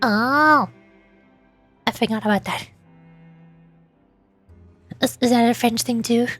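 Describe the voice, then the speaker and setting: A woman's voice: a little high, slow, a bit bright. A woman giving casual, playful game commentary, often thinking aloud hesitantly as she goes. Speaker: streamer voice-acting along with a video game. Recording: music behind the voice. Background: game music.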